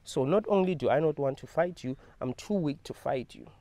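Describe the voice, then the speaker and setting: A young man, telling a personal story to camera in an animated, emphatic way and swearing freely. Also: a little high, brisk, no echo. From South Africa. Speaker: vlogger.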